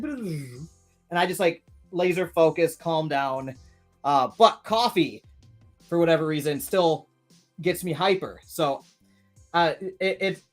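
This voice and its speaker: A man in his thirties hosting a live stream, chatting casually and rambling with many restarts while reading viewer comments. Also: fairly high pitch. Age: 30s.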